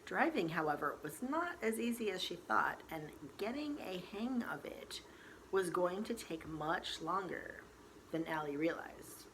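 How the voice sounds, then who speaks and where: A woman storyteller reading a novel aloud in expressive, dramatic narration with deliberate emphasis. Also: fairly low pitch, poor audio. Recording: faint background noise.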